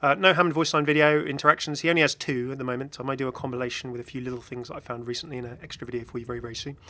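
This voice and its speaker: Guy doing chatty gaming commentary, bright voice, average pitch, bit of noise behind. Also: steady tone, very fast. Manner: chatty, frequently chuckling. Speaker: gaming video host. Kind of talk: casual game commentary.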